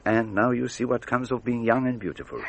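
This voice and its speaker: An actor playing an indulgent, gallant investigator with a courteous, confiding manner in a radio drama. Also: male voice, medium pitch, brisk, natural voice. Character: indulgent, gallant male investigator charmed by youth.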